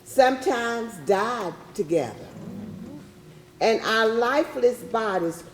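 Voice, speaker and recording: A woman performing a solemn, deliberate dramatic recitation in readers theatre. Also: on the high side, animated. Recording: roomy, echoing stage recording.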